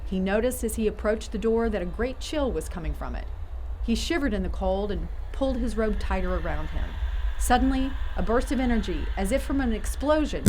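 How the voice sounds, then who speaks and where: A woman's voice: mid-range voice, a little mellow, slight background noise. A woman giving scripted storytelling narration as the narrator of an audio theater piece.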